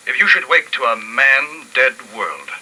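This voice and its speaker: An actor playing an earnest, shaken young ecologist who survives alone, narrating a 1950s radio drama with dramatic, halting pauses. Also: male voice, medium pitch, very animated, background noise. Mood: grave, questioning. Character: lone male ecology student, snakebitten survivor, earnest and shaken. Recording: vintage 1950s radio recording, music behind.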